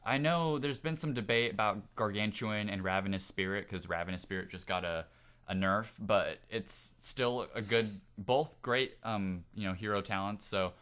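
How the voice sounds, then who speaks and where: Lowish-voiced man on a gaming podcast. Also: moderate pace, fairly bright tone, smooth. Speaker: podcast co-host. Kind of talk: casual gaming podcast discussion.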